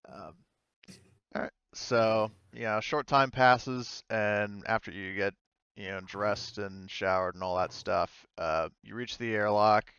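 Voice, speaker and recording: A man acting as game master, narrating a tabletop roleplaying game in a halting, conversational way. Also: on the low side, steady tone, fairly bright tone, smooth, no room sound, dull audio.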